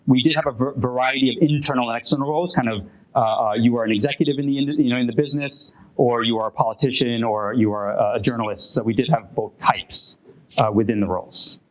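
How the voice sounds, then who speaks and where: Man, academic presenter, conference Q&A, hesitant, many fillers and restarts, mid pitch. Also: brisk, rough recording. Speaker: presenter answering audience questions. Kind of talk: academic Q&A at a workshop. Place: conference room.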